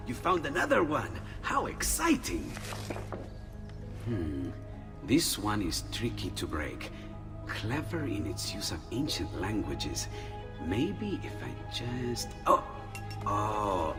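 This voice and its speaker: A warm, eccentric Italian inventor from a Renaissance video game. His pitch leaps around wildly as he exclaims and muses. Loud music plays and the voice rings with long reverb. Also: wildly swooping pitch, slow, long pauses, exaggerated voice. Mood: excited, musing. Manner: exclamatory and musing, swinging from delight to muttering. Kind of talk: video game cutscene acting.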